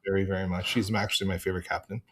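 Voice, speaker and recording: A man with a fairly low voice talks as a podcast guest. He chats casually like a fan, rambling in an animated manner and often breaking off to restart his thought. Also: very fast.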